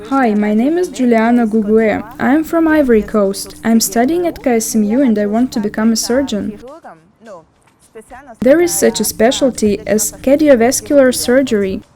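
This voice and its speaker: Mellow, slightly high female voice-over narrator. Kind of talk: scripted promotional narration.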